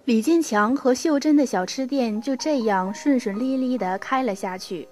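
A woman's voice: on the high side, moderate pace, a little mellow. A young woman reading scripted storytelling narration for a student radio drama. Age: young adult. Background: music.

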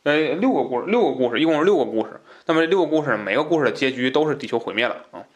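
A man's voice: medium pitch, lively intonation, rapid-fire. A man hosting a podcast, explaining a book in an informal, conversational way, with asides and repeated phrases for emphasis. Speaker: podcast host.

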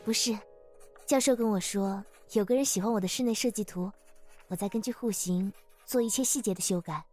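An actress playing a gentle, dutiful daughter of twenty-five in an audio drama, earnest and resigned. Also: female voice, mid-range voice, a little fast, faint music behind, lightly acted. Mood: gentle, obedient. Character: dutiful, resigned 25-year-old daughter, designer. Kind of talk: Chinese audio drama acting.

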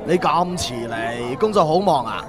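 An actor playing a proud, nosy older relative in a radio drama. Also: male voice, on the high side, animated, unhurried, crowd noise. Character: older male relative, proud, nosy, hearty great-uncle. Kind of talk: Cantonese radio drama acting. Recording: music and bustle behind the voice.

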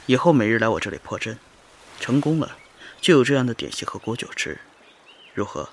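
A man's voice: medium pitch, a little fast, a little hiss or hum. An actor playing a generous, wry older male master of magic formations in an audio drama who often mutters to himself. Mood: kindly. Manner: lively, musing, often muttering aside.

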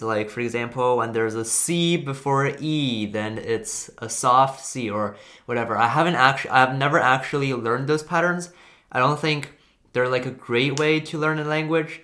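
Bright-voiced young man, native Chinese speaker. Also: mid-range voice. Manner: relaxed, rambling, self-correcting. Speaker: language video host. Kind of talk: casual talk to camera.

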